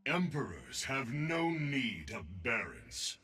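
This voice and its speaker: A man voicing a proud, commanding bird emperor of the desert in a video game, declaiming in a stately, pompous way with a raspy voice. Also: mid-range voice, wildly swooping pitch, slow pace, bright, forceful tone, heavily acted. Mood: regal pride. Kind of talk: video game character voice lines.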